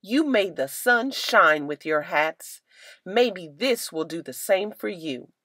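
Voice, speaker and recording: A woman's voice: lowish voice, animated, takes it slowly, a bit bright, no room sound, studio quality, full character voice. A woman voicing an eager, earnest young schoolgirl in a children's storybook, with a hopeful manner. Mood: tender. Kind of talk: storybook character voice.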